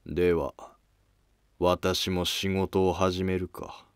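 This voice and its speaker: A man playing a calm, scheming, self-sacrificing court noble in formal archaic speech for a history drama. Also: low voice, slow pace, clean tone, dry recording, clean studio sound. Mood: dutiful.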